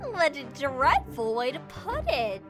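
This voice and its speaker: A woman's voice: high-pitched, wildly swooping pitch, slow, music in the background, exaggerated voice. A woman voicing a mock-polite, gleefully sinister living doll in a theatrical game dub.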